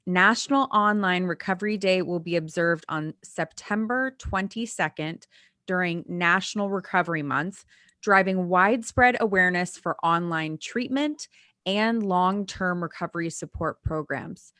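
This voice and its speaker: A woman hosts an online panel discussion over a video call. Her voice sits fairly low and sounds dry and close. She reads her welcome in an upbeat, gushing way. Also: unhurried, fairly bright tone, no echo. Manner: upbeat and scripted, with gushing greetings. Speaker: moderator.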